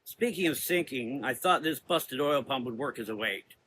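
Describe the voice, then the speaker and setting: A man's voice: medium pitch, animated, normal speed, a bit bright, slight background noise, lightly acted, rough recording. A man voicing a blunt, hot-tempered woman sailor in a gothic horror audio drama. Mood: concerned.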